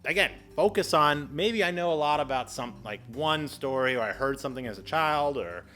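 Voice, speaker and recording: A man hosting an explainer video in a casual, chatty style, animated and exclamatory, with playful exaggerated asides. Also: fairly high pitch, moderate pace, soft background music. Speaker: video host giving public speaking tips.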